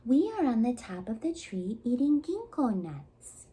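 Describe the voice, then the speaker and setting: A woman's voice: a little high, very animated, unhurried, mellow, pitched above the performer's own voice. A woman voicing a clever eldest girl in a storybook, coaxing sweetly with feigned innocence. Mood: sweetly obliging.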